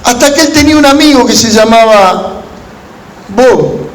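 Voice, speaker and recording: A man with an Argentine Spanish accent preaching as a pastor, telling stories in measured, deliberate phrases with pauses. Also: very high-pitched. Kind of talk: sermon to a gathering of pastors, with storytelling. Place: large room at a church conference. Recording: echoey hall with background noise.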